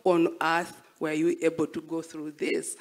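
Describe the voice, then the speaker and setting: A woman's voice: sits low, monotone, measured pace. Female artist interviewee, reflective storyteller, emphatic with repeated phrases. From Rwandan, born and raised as a refugee abroad.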